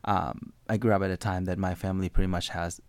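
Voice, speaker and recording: A man's voice: deep voice, level tone, fast talker, dry recording. A man from Thailand who speaks English as a second language, telling his life story in an interview with frequent restarts and fillers. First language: Thai. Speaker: interview guest.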